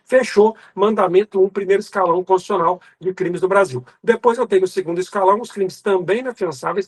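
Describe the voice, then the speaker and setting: A man's voice: sits high, breathy edge. A Brazilian man teaching an exam review lecture, emphatic and didactic, drilling lists by repetition and speaking directly to the student in a colloquial way. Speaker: law exam prep teacher.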